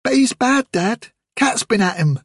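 Young country farm girl, voiced by a man, high and pleading, husky edge, dry close sound. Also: expressive, measured pace, dry recording, full character voice. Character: young farm girl, stubborn and tender-hearted.

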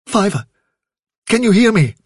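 A man voicing a decisive, commanding rabbit leader giving brisk, urgent orders in a storybook reading. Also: very high voice, lively intonation, fairly bright tone, no echo, studio quality, pitched above the performer's own voice, heavily acted. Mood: alarmed. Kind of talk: audiobook character acting.

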